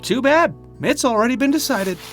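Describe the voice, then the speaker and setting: A man playing a teasing, warm-hearted young friend in an audio roleplay, conversational and given to playful grumbling. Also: very high voice, animated, normal speed, soft background music. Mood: indignant. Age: young adult. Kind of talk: audio roleplay acting, addressing the listener.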